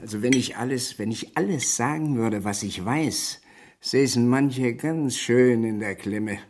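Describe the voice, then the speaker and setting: Older male villager played by an actor, radio crime play. Husky voice, nosy, chummy and insinuating gossip. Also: mid-range voice, a little mellow, natural voice. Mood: sly, boastful. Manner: conspiratorial, insinuating, drawn-out hints. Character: nosy, gossipy old village man, sly busybody. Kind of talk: German radio crime drama acting.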